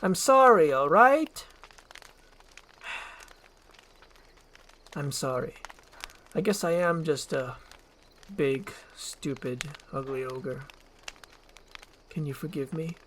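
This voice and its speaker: A woman's voice: low-pitched, lively intonation, very slow, long pauses, a bit bright, a little hiss or hum, full character voice. A woman voicing a big, grumpy, insecure male ogre with a blunt, defensive manner in a storybook screenplay reading. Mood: sheepish. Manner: gruff-tempered, defensive, blunt.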